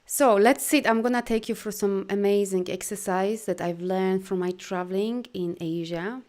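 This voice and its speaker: A woman with a Polish accent coaches viewers through a live video, her voice at a middle pitch for a woman. She talks in an animated, encouraging way, with loose non-native phrasing and many "you know"s. When she guides breathing she slows down and softens. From Poland. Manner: soft, slow guidance during breathing. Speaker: life coach and energy healer.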